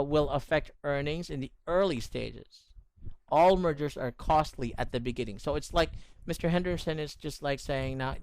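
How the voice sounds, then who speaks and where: A man's voice: a little high, no echo. A man teaching English in an online lesson, correcting pronunciation and praising his student often. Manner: encouraging, corrective, repeats praise.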